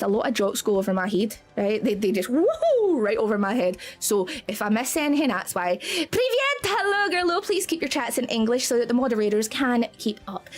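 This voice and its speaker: A woman with a Scottish accent live-streaming from home, bubbly and giggly, gushing thanks to her viewers. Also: high-pitched. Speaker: VTuber streamer. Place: indoor home streaming setup.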